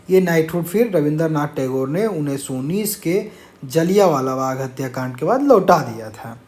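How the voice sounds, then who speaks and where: A man's voice: a little high, darkish tone. A man teaching an informal exam-prep lesson, explaining answers and mixing in English words.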